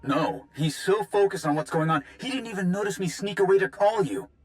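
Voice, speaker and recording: An actor playing an anxious, hurried comrade calling in with news in a video game, urgent and informal. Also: male voice, high-pitched, lively intonation. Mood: urgent, hesitant. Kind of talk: video game cutscene acting.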